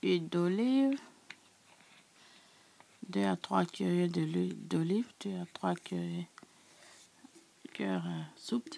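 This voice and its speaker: A woman with a sore, hoarse throat, chatting casually to her subscribers as she walks through a recipe in her kitchen. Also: fairly low pitch, takes it slowly, long pauses, dark tone. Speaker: cooking channel host. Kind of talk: casual cooking tutorial.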